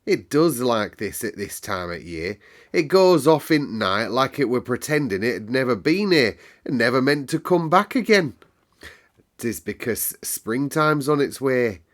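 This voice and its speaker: A man's voice: medium pitch, big pitch swings, a little slow, pitched above the performer's own voice. A man voicing a cheerful, chatty young Yorkshire housemaid in broad dialect for a children's storybook. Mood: cheerful, emphatic. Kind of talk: children's storybook read-aloud.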